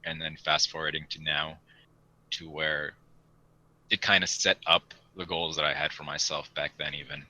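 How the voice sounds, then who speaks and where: A man's voice: rumbling low, flat delivery, moderate pace, bright, forceful tone, poor audio. A young man chatting casually and in a rambling way as a podcast host. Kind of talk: casual chat.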